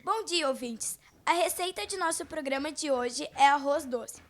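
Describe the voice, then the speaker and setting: A Brazilian schoolgirl presents a cooking segment in vintage radio style. She reads from a script in a high young voice. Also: moderate pace, some chatter behind. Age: child, about twelve.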